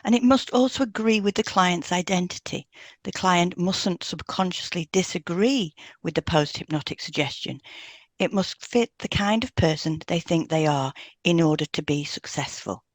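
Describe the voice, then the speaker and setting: A woman's voice: fairly low pitch, normal speed, dry recording. A woman co-hosting an educational podcast, reading scripted teaching points. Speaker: podcast co-host, hypnotherapist.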